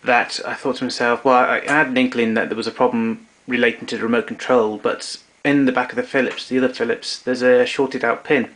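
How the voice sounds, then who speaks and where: A man narrating a casual show-and-tell of his tape recorders, explaining step by step with pauses and fillers. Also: mid-range voice, little pitch movement, a bit bright.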